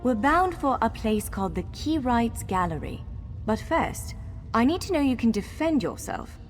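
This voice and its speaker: A woman's voice: fairly high pitch, sing-song, moderate pace. A woman playing a courteous, wry elf scholar guiding someone in a video game, articulate and formal yet playful. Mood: brisk, friendly. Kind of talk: video game character dialogue. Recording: long hall reverb over music.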